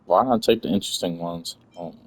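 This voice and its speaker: A man's voice: low voice, brisk, slight background noise. A man giving casual, blunt, slangy commentary as he plays a video game. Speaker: gamer commentating on a playthrough. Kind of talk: casual game commentary, reading dialogue aloud.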